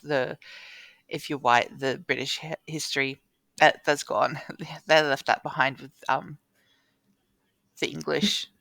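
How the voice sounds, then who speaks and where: A woman's voice: sits low, fairly even intonation, slow pace, gravelly, pauses often, a bit bright, no echo. An Australian woman co-hosting a casual chat, telling anecdotes with informal, dry humour and acted-out quotes. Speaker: podcast co-host.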